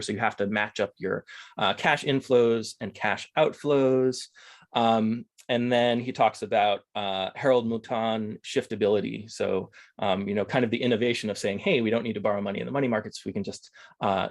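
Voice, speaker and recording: Man hosting an online seminar, gravelly, lowish voice, rapid with lots of fillers. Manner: rapid, with many fillers and restarts. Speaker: discussion group host. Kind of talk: casual online seminar discussion.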